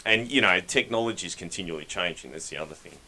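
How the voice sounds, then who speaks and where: A laid-back man co-hosts a casual motoring podcast and pokes gentle fun at himself. His voice is very low with a bright, forceful edge. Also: fast, low-quality recording. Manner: laid-back, self-deprecating. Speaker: podcast co-host.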